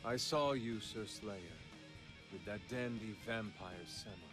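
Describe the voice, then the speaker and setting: A man's voice: lowish voice, huge pitch swings, a little slow. A man voicing an earnest, intensely devoted assassin disciple in a video game, formal and solemn. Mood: earnest, determined. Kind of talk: video game character acting. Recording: studio voice under loud guitar music.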